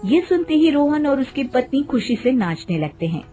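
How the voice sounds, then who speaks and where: A woman's voice: high voice, big pitch swings, moderate pace, mellow. Woman narrating a moral story over music.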